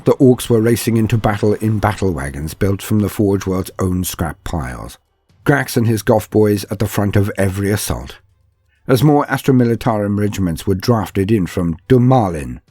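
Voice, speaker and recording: A man reading scripted dramatic narration in a grave, measured storytelling manner. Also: on the low side, mellow, slightly rough, no echo. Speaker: narrator of a fan lore channel.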